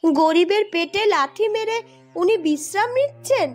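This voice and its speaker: A woman's voice: very high voice, animated, normal speed, soft background music. A woman voicing a young, determined daughter of a poor farmer in a cartoon story, speaking in an earnest, defiant way. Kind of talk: Bengali cartoon story acting.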